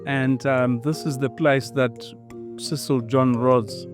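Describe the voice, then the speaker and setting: A man working as a tour guide, explaining sites slowly with many fillers. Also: mid-range voice, fairly even intonation, soft background music.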